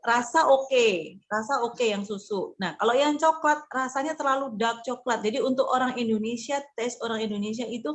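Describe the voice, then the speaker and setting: A woman marketing consultant talking in a casual group discussion, pausing often with fillers and restarting her sentences. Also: fairly high pitch. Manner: hesitant, many fillers and restarts; informal, conversational explaining.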